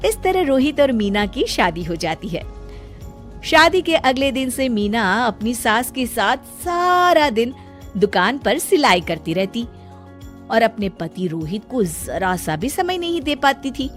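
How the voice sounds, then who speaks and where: A woman's voice: a little high, sing-song, a little slow, fairly bright tone, faint music behind. A woman reading scripted storybook narration for a cartoon, as the storyteller.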